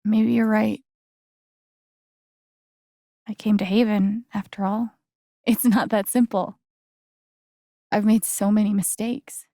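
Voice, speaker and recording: A woman's voice: mid-range voice, level tone, takes it slowly, gravelly, pauses often, warm tone, no echo, clean studio sound. A woman voice acting an earnest, caring young heroine in a video game, with casual, hesitant, naturalistic delivery. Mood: hesitant, touched. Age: young adult. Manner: casual, hesitant, conversational phrasing.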